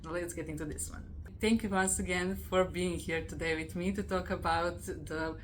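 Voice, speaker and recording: A woman hosting an interview podcast, upbeat and quick to laugh. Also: lowish voice, steady tone, slight background noise. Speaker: host.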